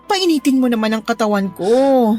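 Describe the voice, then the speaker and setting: A woman's voice: on the high side, animated, normal speed, warm tone, lightly acted. Woman acting a lonely, seductive widow, swooping voice. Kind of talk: Tagalog radio drama acting. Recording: background music under the voice.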